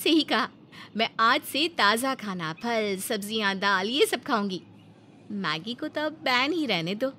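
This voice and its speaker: A woman's voice: a little high, lively intonation, measured pace, bright, forceful tone, a little hiss or hum. A woman voicing a naive, eager young bride with an earnest, coaxing manner in a cartoon story. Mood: resolved. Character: naive, eager young city bride who loves noodles. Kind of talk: Hindi cartoon story acting.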